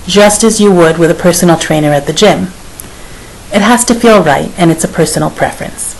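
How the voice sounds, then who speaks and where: A woman speech and dialect coach reading a scripted piece of advice in a measured, advisory tone. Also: fairly low pitch, vocal fry, poor audio. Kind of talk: scripted narration. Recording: faint hiss.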